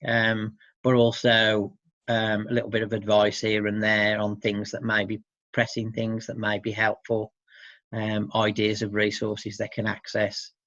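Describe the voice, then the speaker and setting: Low-voiced man, muffled roomy interview. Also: monotone, measured pace, fairly bright tone. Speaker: interviewee, support service worker for dads. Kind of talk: informal interview answers.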